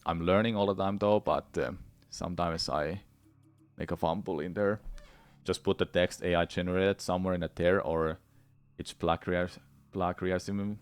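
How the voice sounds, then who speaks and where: A man's voice: low voice, fairly even intonation, normal speed, clear, resonant tone. A man from Finland with a Finnish accent, chatting casually with his viewers during a game playthrough, rambling and swearing freely. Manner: rambling, frank, swears freely, many restarts. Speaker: gaming streamer.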